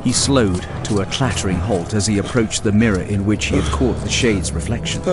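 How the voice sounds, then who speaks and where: Lowish male audiobook narrator, grave dramatic fantasy reading, music clearly behind him.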